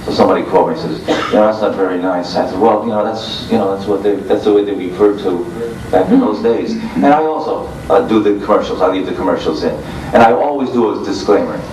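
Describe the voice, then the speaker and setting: A man who hosts radio, chatting casually on a panel in a halting, conversational way. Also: on the low side, a little mellow. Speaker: radio host speaking on a panel. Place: convention room, informal video recording. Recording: echoey room, distant mic, faint noise.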